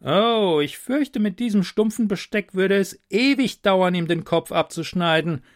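A man voicing a scrawny, bossy male court jester in a storybook reading, with a high, mocking put-on voice. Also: unhurried.

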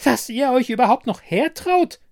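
A man's voice: very high-pitched, expressive, normal speed, gravelly, darkish tone, pitched above the performer's own voice, heavily acted. A man voicing a haughty, scornful winter queen in a fairy tale, contemptuous and scolding. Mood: shrill anger.

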